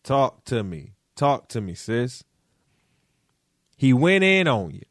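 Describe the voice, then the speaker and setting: A podcast host from Detroit doing solo commentary, roasting people in a slangy, playful way with lots of repetition. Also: male voice, medium pitch, big pitch swings, slow pace, no room sound, studio quality.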